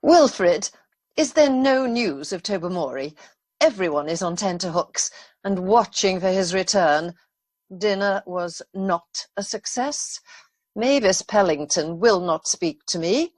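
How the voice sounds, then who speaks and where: A woman's voice: medium pitch, expressive, measured pace, no echo. A woman acting an aggrieved, imperious lady of the manor in a radio play, formal and reproachful. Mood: gloomy, fretful.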